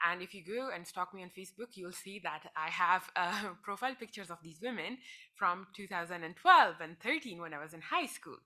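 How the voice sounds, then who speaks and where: A woman's voice: on the low side, animated, a little fast, sharp tone. A woman, speaking earnestly and emphatically as a panelist telling personal stories. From Afghanistan. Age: 20s. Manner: earnest, emphatic, repetitive phrasing. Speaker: panelist, women's rights advocate.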